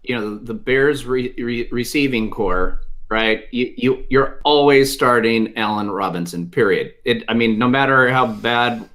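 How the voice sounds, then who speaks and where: A man with a medium-pitched voice gives casual fantasy sports advice as a panelist. His delivery is halting, often doubling up words as he makes his point. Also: fairly bright tone, poor audio. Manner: halting, repeats words emphatically.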